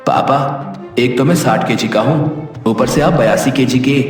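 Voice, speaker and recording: Whiny young cartoon son male actor, mid-pitched, pleading, distant in a long echoing hall. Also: normal speed, lightly acted. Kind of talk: Hindi cartoon voice acting. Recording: very echoey hall sound, distant, music behind.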